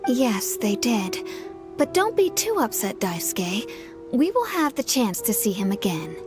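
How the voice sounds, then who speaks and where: An actress voicing a gentle young mother who comforts her son in a game, speaking in a soothing, kind way. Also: on the high side, big pitch swings, unhurried. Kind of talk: game cutscene voice acting. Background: game music.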